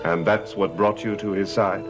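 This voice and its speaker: A man's voice: lowish voice, fairly even intonation, normal speed, over music, close to an everyday voice. A man acting a guarded, intense, cursed heir in a gothic television soap, with an earnest, questioning, dramatic delivery. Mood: troubled, probing.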